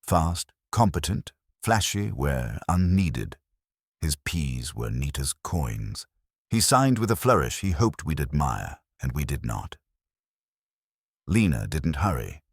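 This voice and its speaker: A man narrating a scripted detective story as an audiobook reader, with wry, deliberate phrasing. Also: a bass voice, sing-song, slightly rough, dry recording, clean studio sound.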